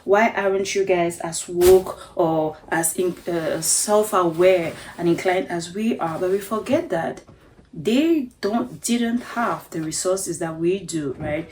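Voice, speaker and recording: Earnest woman from Cameroon, lowish voice. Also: slow pace, a little mellow, a little hiss or hum, low-quality recording. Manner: earnest, frequent tag questions. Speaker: vlogger. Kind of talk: reflective talk to camera. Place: home bedroom.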